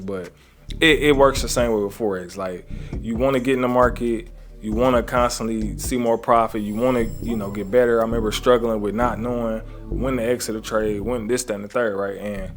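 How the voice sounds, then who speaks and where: A man's voice: lowish voice, steady tone, moderate pace, faint music behind. A man coaching traders in a motivational talk, earnest and conversational. He preaches gratitude, refers to his faith and often checks in with "right?". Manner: conversational, often adds "right?" and "you know what I'm saying?". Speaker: trading coach.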